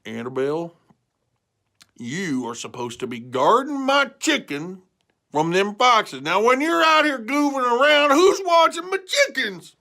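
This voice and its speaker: Old farmer with a rural drawl, blustery and loud, high and lively as a storyteller plays him. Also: male voice, measured pace, full character voice. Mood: scolding. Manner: rural drawl, dropped g's. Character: gruff old male farmer, blustery, folksy.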